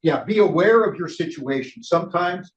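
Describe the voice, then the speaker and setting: An older man leading a casual cycling training session, speaking loudly and chatting with the group. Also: high voice, expressive, moderate pace. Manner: loud, chatty, laughs between points. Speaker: ride leader trainer. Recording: roomy, dull lo-fi room recording.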